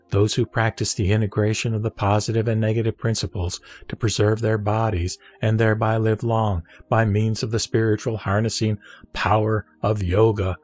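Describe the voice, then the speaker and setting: A man reciting Buddhist scripture from a script in a solemn, measured, formal delivery. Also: on the low side, a little mellow. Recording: dry voice over background music. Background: music.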